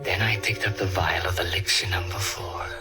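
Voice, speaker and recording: A man's voice: low-pitched, steady tone, normal speed, lightly acted. An actor playing a cold, greedy killer who narrates his crime in a menacing 1940s radio drama style. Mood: grim narration. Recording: old radio drama with music underneath.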